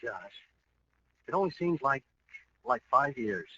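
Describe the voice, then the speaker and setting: Sharp-voiced, nervous young American man. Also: mid-range voice, sing-song, slow, slightly rough, no room sound, exaggerated voice. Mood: eager. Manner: hesitant, earnest. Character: eager, bumbling, nervous young boyfriend. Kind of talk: 1940s radio comedy acting. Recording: dull, hissy old radio recording.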